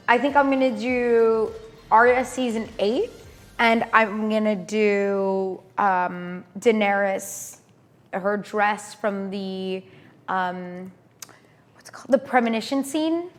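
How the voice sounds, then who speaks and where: A young woman chatting casually and playfully with guests as she hosts a live stream, using plenty of slang. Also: fairly high pitch. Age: young adult. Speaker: live cosplay panel host. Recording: echoey room, long reverb.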